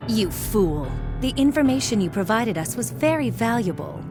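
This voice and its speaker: A woman's voice: on the high side, sing-song, normal speed. Cold, merciless female assassin over music. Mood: cold, menacing. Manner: cool, menacing. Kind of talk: video game cutscene acting.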